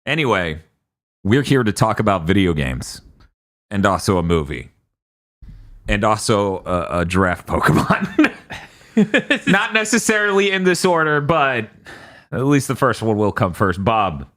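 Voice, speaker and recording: A man co-hosting a casual gaming podcast, animated and swearing as he tells stories. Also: fairly low pitch, a little slow, long pauses. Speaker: podcast co-host.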